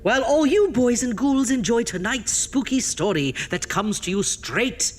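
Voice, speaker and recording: A man playing a vain, prickly undead horror host, hammy and halting in the style of a campy radio horror show. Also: very high-pitched, animated. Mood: catty, showy. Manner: hammy, halting, drawn-out showman delivery.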